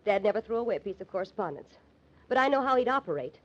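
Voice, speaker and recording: A woman's voice: medium pitch, lively intonation, fast talker, natural voice. An actress with an American accent playing a determined, accusing young woman in an old-time radio drama. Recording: dull old radio recording.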